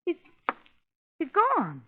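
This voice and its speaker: An actress playing a spirited, nervous but wry lady companion, expressive and poised, in 1930s radio drama style. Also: takes it slowly, lightly acted. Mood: alarmed. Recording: tinny, lightly noisy 1930s radio recording.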